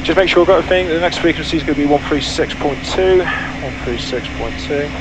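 A man's voice: fairly high pitch, quick pace. A man in his thirties on an aviation headset, giving clipped, matter-of-fact cockpit commentary and procedural radio readbacks as a pilot. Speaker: pilot and flight vlogger. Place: small private jet cockpit. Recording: loud aircraft cockpit noise. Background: loud aircraft engine and cabin noise.

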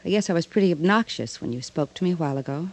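A woman's voice: lowish voice, expressive, rapid-fire. An actress playing a flirtatious, self-possessed, daring woman in a 1950s radio drama. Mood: coy, inviting. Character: flirtatious, daring adult woman fascinated by death.

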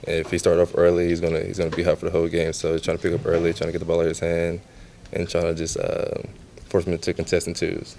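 A young man talks after a basketball game in a locker room, his voice low and dark with a gravelly edge. He answers in a flat, halting way, full of fillers. A faint hum sits behind him. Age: young adult. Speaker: basketball player.

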